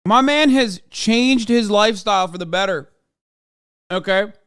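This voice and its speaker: A man's voice: high-pitched, measured pace, fairly bright tone, clear, resonant tone, no echo, studio quality. A man in his thirties ranting in casual reaction commentary, profane and exasperated. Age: 30s. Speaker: streamer reacting to clips.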